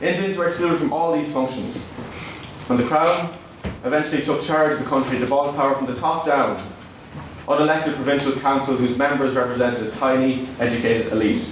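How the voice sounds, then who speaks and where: A man's voice: medium pitch, steady tone, brisk. Irish man debating in a very echoey hall. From Ireland. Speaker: student debater giving a maiden speech. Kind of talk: formal debate speech. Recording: echoey hall from far off, faint noise.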